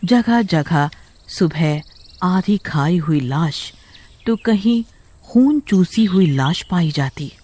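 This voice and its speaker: A man narrating a scripted horror story dramatically, with suspenseful, drawn-out phrasing. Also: high voice, animated, noisy background.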